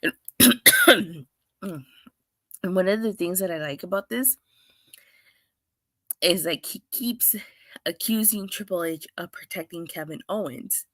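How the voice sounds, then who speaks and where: A woman with a hoarse, clogged voice from being under the weather, hosting a chatty solo review with lots of restarts. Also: lowish voice, slow pace, pauses often, bright, forceful tone, no echo. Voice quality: clogged, stuffy voice. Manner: chatty, rambling, with many restarts and fillers. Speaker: wrestling show reviewer, host. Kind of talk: casual solo review talk.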